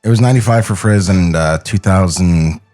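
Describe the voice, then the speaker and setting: A man's voice: sits low, a little fast, darkish tone, faint music behind. A man co-hosting a podcast as an opinionated, rambling cartoon critic, chatting casually and swearing freely. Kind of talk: casual, profane podcast banter. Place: podcast studio. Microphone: podcast studio microphone.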